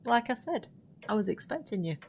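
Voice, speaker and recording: A woman's voice: a little high, sing-song, fairly quick, a little mellow, breathy edge, heavily acted. A woman acting a mysterious, courteous witch hostess in an audio drama. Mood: knowing.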